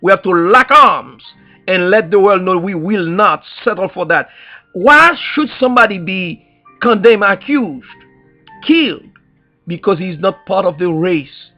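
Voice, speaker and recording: A high-voiced man with a Haitian accent delivers an activist's public address like a preacher. He hammers phrases through repetition and pointed rhetorical questions. The recording is dull and lo-fi, with faint music underneath. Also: animated, unhurried, bright, forceful tone. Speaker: activist speaker.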